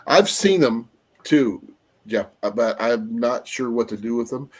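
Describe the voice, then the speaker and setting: A man hosting a casual live-stream chat, his voice going a little raspy, rambling along with fillers as he looks things up. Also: medium pitch, lively intonation, unhurried. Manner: rambling, trails off with fillers while looking things up. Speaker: livestream host.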